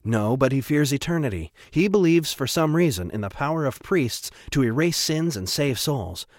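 A man's voice: mid-range voice, animated, normal speed, dry recording, studio quality, pitched above the performer's own voice, close to an everyday voice. A man voicing a wry, self-assured priest in an audiobook, with a knowing, teasing manner. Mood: wry, confiding. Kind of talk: audiobook character acting.

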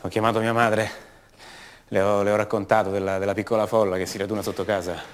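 An actor playing an uneasy, brooding, paranoid man in a halting, word-repeating radio drama monologue. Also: lowish voice, flat delivery, natural voice. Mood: subdued, wistful. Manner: halting, stammering, repeats words. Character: uneasy, brooding grown son, increasingly paranoid and agitated. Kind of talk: radio drama monologue, naturalistic theatrical acting.

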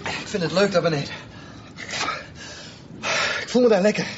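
A man's voice: sits high, normal speed, lightly acted. A male actor playing a defiant, stubborn boy, insistent and eager, in a Dutch radio play. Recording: muffled, slightly noisy radio play recording.

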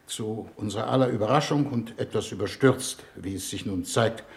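An actor playing a grave, shrewd foreign minister in a 1950s radio drama, speaking in a formal, measured, probing way. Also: male voice, on the low side, creaky. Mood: grave, solemn. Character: grave, shrewd male foreign minister leading a space mission.

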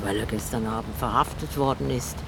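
A woman's voice: very deep voice, fairly quick, noisy background. An actress in a radio drama playing a talkative old river boatwoman with a wry, chatty, teasing manner. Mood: indignant. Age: older.

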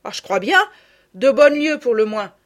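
A woman's voice: fairly high pitch, lively intonation, normal speed, voice pushed up, close to an everyday voice. A woman doing a kindly rustic country butcher. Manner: homely, folksy, slightly rustic speech. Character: good-natured country butcher, grown man, gruff and kind. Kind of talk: audiobook character voice.

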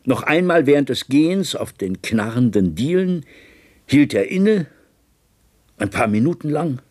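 A watchful colleague recounting tense events in a radio drama. His voice is husky and mid-pitched, his measured and restrained. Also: sing-song, darkish tone. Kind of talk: radio play narration in German.

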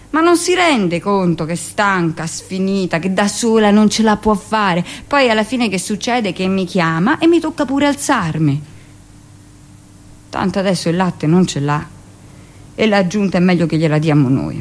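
A middle-aged woman , played by an actress, speaks as a brusque hospital midwife in a radio drama. Her delivery is colloquial and confiding, with weary scorn. She sounds dismissive and keen to justify herself, at a medium pitch. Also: natural voice. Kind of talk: theatrical radio monologue.

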